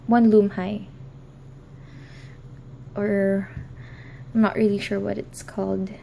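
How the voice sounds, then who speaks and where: Hesitant young woman with a gravelly voice. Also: mid-range voice, flat delivery, slow pace, dark tone, a little hiss or hum. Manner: hesitant, many ums, apologetic. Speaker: crafting tutorial host. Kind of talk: casual how-to tutorial. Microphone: recorded in an office.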